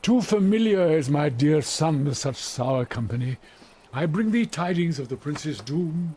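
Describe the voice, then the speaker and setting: A man's voice: a little high, lively intonation, measured pace, dark tone, slight background noise. An actor with a British accent playing a grave, fatherly elderly friar in classical Shakespearean radio drama. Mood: grave, compassionate. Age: older.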